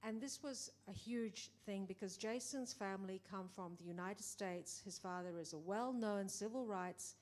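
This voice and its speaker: A middle-aged woman producer speaking in a conversation, animated, acting out quoted exchanges, with many restarts. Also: mid-range voice, moderate pace, few pauses. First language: English. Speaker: film producer. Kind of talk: panel conversation.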